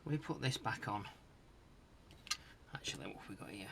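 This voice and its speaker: A man's voice: fairly low pitch, expressive, moderate pace, creaky, noisy background. A man giving a casual hands-on repair commentary, muttering to himself in short fragments as he works. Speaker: host of a retro tech repair channel.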